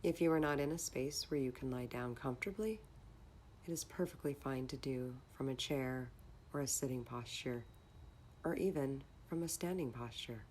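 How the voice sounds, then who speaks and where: A woman leading a guided meditation in a calm, measured, instructional manner. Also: rumbling low, a little hiss or hum.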